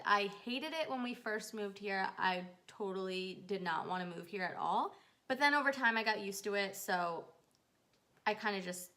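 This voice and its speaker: A woman's voice: mid-range voice, fairly bright tone. A woman in her twenties from New York, chatting casually to her channel viewers in a get-to-know-me vlog, rambling with fillers. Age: 20s. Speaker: YouTuber.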